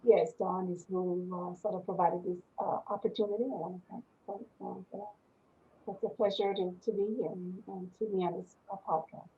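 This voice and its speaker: A woman in her sixties from Panama speaks with a West Indian accent. She talks at an everyday pitch, rambling and laughing often as a podcast guest. Her voice comes through a narrow, tinny phone-like line. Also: fairly even intonation, brisk, a little hiss or hum. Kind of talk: casual interview.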